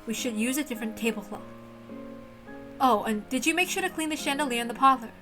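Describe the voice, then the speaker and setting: A woman voicing a high-strung, exacting lady of a mansion, stern and formal as she commands servants. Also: fast, music in the background, close to an everyday voice. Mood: critical.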